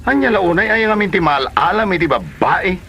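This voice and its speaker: An actor plays a teasing, exclaiming buddy in a radio comedy drama. He is a man with a forceful, very lively delivery, recorded with a little background noise. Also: high-pitched, moderate pace, heavily acted. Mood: exclaiming, advising.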